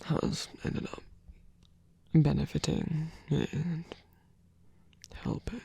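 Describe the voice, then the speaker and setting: Male actor as self-doubting male shapeshifter lover, intimate ASMR, gravelly creak, halting, soft-spoken, close and dry. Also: fairly high pitch, flat delivery, no room sound, exaggerated voice. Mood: tender, yielding. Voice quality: breathy, often slipping into whisper. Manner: hesitant, halting, sighing, softly self-deprecating. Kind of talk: intimate ASMR roleplay audio.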